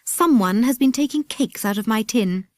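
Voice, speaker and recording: A strict, scolding British aunt from a children's storybook, acted by a woman reader. She is bossy and stern, her pitch raised and swinging sharply as she lays down orders. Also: expressive, normal speed, darkish tone, dry recording, natural voice. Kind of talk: children's storybook character reading.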